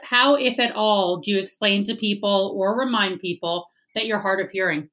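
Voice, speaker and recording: Woman interviewer, measured questions, mid pitch. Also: a bit bright, low-quality recording.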